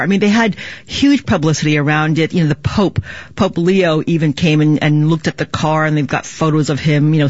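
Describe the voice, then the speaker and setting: A woman's voice: lowish voice, dry recording. A woman reporting as a business correspondent in a conversational live news style. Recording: dull lo-fi audio.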